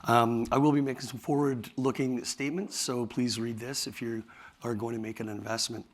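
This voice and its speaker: A man with a raspy voice, tired from talking all day, presenting his company at a conference in a halting way, with many fillers and restarts. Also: medium pitch, little pitch movement. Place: conference hall.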